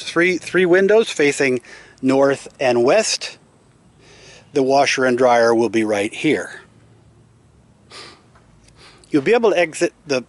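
Man giving a matter-of-fact walk-through tour. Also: mid-range voice. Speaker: homeowner giving a house tour. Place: outdoors in an open timber-framed house under construction. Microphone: handheld camera, held by the speaker.

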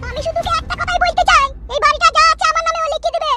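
A cartoon village wife with an extremely high, squeaky voice. She scolds and makes demands at a very fast clip, swearing freely, over loud music. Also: fairly even intonation, exaggerated voice. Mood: indignant. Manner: scolding, foul-mouthed, demanding. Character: shrill, quarrelsome village wife demanding the house. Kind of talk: comedy cartoon dialogue.

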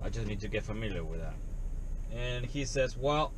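A man's voice: on the low side, expressive, normal speed. A man in his thirties, a service technician, candidly retelling a story from his workday while sitting in his parked work van, recorded on a dash camera. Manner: candid, conversational retelling with non-native phrasing. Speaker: HVAC technician. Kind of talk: casual storytelling vlog. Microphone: dash camera, headset on. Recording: loud road and engine noise. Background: vehicle and outdoor ambience.

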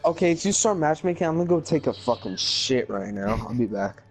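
A man's voice: a little high, big pitch swings, a little fast, faint music behind. A young man in casual, crude, slangy chat with friends while gaming, joking around as he streams. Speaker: online gamer, streamer.

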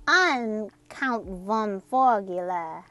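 A woman voicing an excitable young boy frog from a storybook, hammy and exclamatory. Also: mid-range voice, faint music behind, heavily acted. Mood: hammy, spooky. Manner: exclamatory, hammy, drawn-out words.

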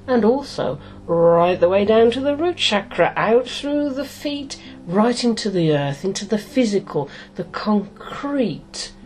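A middle-aged woman hypnotist leading a guided meditation in a soothing, deliberate way, pausing between short phrases. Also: on the high side, a little mellow, slight background noise, poor audio.

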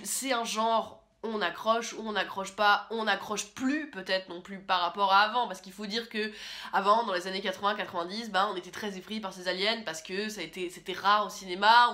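A young woman giving a chatty, opinionated film review to a camera in a small room. Her voice is bright and forward, at an ordinary pitch for a woman. She talks casually and often pauses on fillers. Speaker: film critic host.

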